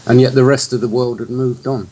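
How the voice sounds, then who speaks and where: A middle-aged man from England giving his view in a talk-show discussion, in a measured, reflective way. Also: mid-range voice, little pitch movement, a little mellow, dull audio.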